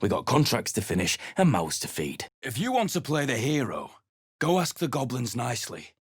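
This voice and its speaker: An actor playing a surly, battle-worn mercenary adventurer in a video game, speaking in a gruff, blunt, dismissive way. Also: male voice, mid-range voice, huge pitch swings, normal speed, no room sound, studio quality.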